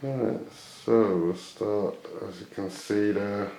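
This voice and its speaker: Man, deep voice, slow unhurried delivery, casual video host, hesitant and unscripted. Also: fairly even intonation, gravelly, warm tone, a little hiss or hum. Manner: hesitant, tentative, often guessing aloud. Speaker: video host showing vintage items. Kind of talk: casual unscripted show-and-tell. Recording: echoey room.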